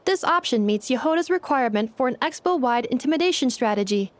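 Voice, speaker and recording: A woman's voice: high voice, lively intonation, fast. An actress playing a polite, literal-minded female robot assistant in a video game, speaking in a formal, precise, composed way. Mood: composed, earnest. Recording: music under the voice.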